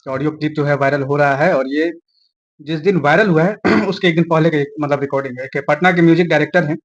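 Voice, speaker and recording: A man's voice: a little high, fast, muffled recording. A man with a Bihari accent answering interview questions informally, making his points emphatically and repeating them. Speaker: interview guest commenting on film-star news.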